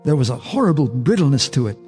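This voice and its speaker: Brief: man narrating a horror radio play, measured and grave, mid pitch, faint music under. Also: big pitch swings, darkish tone. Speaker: narrator, first-person character.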